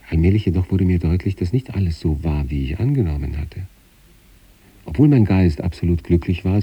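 An actor playing an introspective sanatorium patient, narrating eerie experiences in a measured, deliberate, literary radio style. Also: male voice, no room sound, lo-fi sound, exaggerated voice. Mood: wondering, uneasy. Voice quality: husky, dark low voice.

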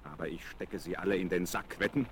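A man acting a plucky aviator alone in his cockpit, deep-voiced and affectionate, talking to himself. It is an old radio play with clear engine noise behind. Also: a little fast, natural voice. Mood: determined. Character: plucky young male pilot talking fondly to his plane. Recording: noisy vinyl record, engine drone behind.

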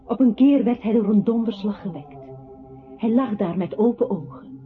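A woman's voice: mid-range voice, lively intonation, moderate pace, close to an everyday voice. An actress as grave female voice, phone-thin sound. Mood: grave narration. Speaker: radio drama narrator-character. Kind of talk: dramatic radio play narration. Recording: thin phone-like old radio recording. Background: music.

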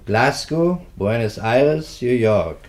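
Sardonic, medium-pitched police investigator. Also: male voice, lively intonation, slow. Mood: sardonic, threatening. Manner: pointed, sardonic. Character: sardonic, determined male police investigator pressing a prisoner. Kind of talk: German radio play acting.

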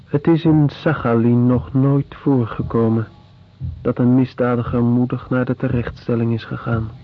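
A male actor narrating a radio drama as a sober, deliberate chronicler of grim events. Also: medium pitch, flat delivery, natural voice. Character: sober chronicler recounting prison colony horrors. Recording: dull lo-fi radio play recording.

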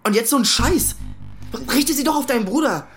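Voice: high voice, animated, fairly quick, faint music behind. An actor playing a nervous, protesting teenage boy, someone's friend, in a radio play. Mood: alarmed, protesting. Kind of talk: German radio play acting.

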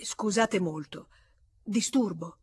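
A woman's voice: medium pitch, slow, darkish tone, breathy edge, dry recording. A woman voicing a timid, apologetic young man who stammers and repeats his words in an audiobook. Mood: flustered, apologetic.

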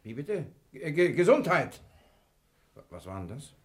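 Cheeky stowaway man, swooping lively voice. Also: on the high side, measured pace. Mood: startled, puzzled. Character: cheeky, fearless male stowaway on a ship at night. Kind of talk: German radio crime drama acting. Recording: noisy 1960 radio play recording with clicks.

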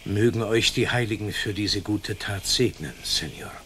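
An actor playing a pious, grateful old friar in a German radio play, solemn and formal. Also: male voice, lowish voice, moderate pace, fairly bright tone, a little hiss or hum, close to an everyday voice.

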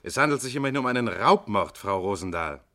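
An actor playing a composed, dogged police detective with a measured, formal manner in a vintage radio crime play. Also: male voice, lowish voice, lively intonation, a bit bright. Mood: composed, probing. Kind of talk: 1950s-60s German radio play acting. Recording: vintage mono radio recording.

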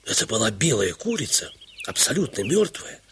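A man's voice: lowish voice, sing-song, moderate pace, a bit bright, slight background noise. A man performing a first-person literary story as its troubled narrator, a dog owner. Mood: troubled, rueful.

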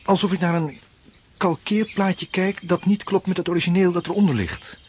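An actor playing a troubled, anxious tenant in a radio drama, earnest and hesitant. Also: male voice, sits high, steady tone, normal speed, a little mellow, a little hiss or hum, natural voice. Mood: uneasy. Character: troubled male tenant, anxious, convinced the house is wrong. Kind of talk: Dutch radio drama acting.

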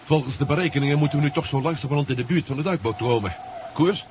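A noisy, dulled vintage radio play. A man plays a commanding general, quick and slightly high in voice, with a brisk questioning delivery. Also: close to an everyday voice. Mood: urgent, eager. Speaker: actor in a radio drama. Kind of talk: 1970s radio drama acting. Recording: muffled, noisy old 1970s radio recording.

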